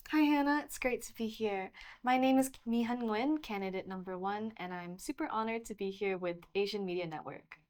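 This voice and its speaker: Young female contestant, medium pitch, friendly and chatty. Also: a little fast, darkish tone. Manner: upbeat, chatty, fillers like "like" and "um". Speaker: pageant candidate.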